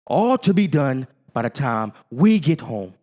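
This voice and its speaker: A man voicing a stern, bossy, exasperated father who scolds loudly in a storybook. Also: medium pitch, sing-song, measured pace, voice pushed up. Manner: loud, scolding, theatrical. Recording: long hall-like reverb.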